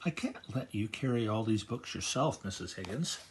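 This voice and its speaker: A man's voice: on the low side, animated, normal speed, a little hiss or hum, natural voice. A grandfatherly man voicing a kind, earnest young girl princess in a read-aloud storybook.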